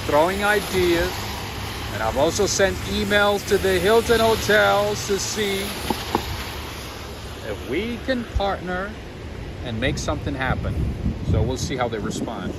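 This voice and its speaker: Chatty man livestreaming on a loud street. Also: measured pace, long pauses. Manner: chatty, addresses viewers, lively swings in pitch. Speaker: livestream walking-tour host. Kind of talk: casual livestream chat with viewers. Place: city street at night, outdoors. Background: loud city traffic noise.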